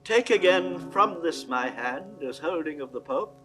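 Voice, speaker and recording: An actor playing a commanding, self-assured papal envoy in formal, declamatory 1960s Shakespearean style. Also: male voice, fairly high pitch, animated, measured pace, soft background music. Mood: lofty, solemn. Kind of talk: 1960s classical Shakespearean recorded acting.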